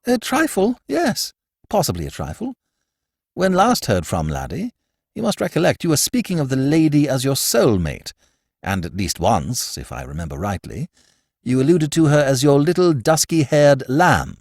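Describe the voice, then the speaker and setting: A man's voice: medium pitch, wildly swooping pitch, normal speed, gravelly, a little mellow, no room sound, studio quality, voice pushed up, heavily acted. A man reading an audiobook and voicing a chatty, jaunty young upper-class man who teases his friend with airy slang. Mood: teasing. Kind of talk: audiobook character voice.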